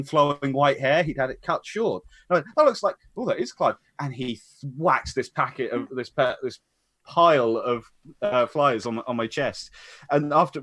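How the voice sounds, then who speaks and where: A man's voice: medium pitch, a bit bright, dry recording. A British man hosting a casual chat, reminiscing in a rambling, stop-start way that repeats phrases. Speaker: host of an online tribute discussion.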